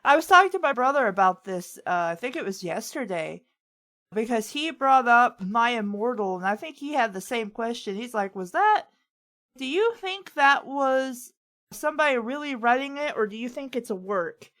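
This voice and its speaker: High-voiced woman chatting casually. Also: animated, normal speed, a bit bright. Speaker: host of a fan fiction reading show. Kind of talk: casual chat.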